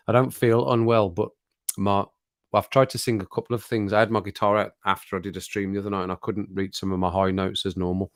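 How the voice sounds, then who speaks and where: A man hosting a casual live stream chat, rambling and laughing often, his voice a little croaky after a cold. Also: on the low side, no room sound, studio quality.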